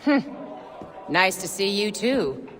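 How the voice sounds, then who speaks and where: An actress playing a sardonic, bossy tavern keeper in a video game. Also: female voice, medium pitch, big pitch swings, takes it slowly, music in the background, crowd noise. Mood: wry. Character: wry, no-nonsense woman tavern keeper. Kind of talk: video game cutscene acting.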